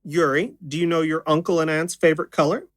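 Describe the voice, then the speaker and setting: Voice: mid-range voice, steady tone, quick pace, natural voice. An actor playing a friendly stage magician with an easy showman's patter in an audio drama. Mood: showy, playful. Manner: showman's patter addressed to an audience.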